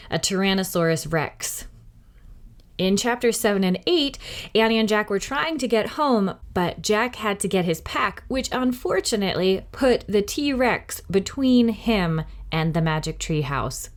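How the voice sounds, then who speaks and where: A woman narrating a children's story in a dramatic, clearly phrased, expressive reading style. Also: medium pitch.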